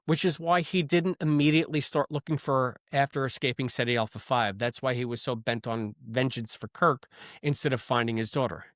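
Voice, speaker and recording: A man's voice: mid-range voice, a bit bright, dry recording, studio quality. A man co-hosting a podcast in a casual, chatty review style. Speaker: podcast co-host. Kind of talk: casual review chat with recaps.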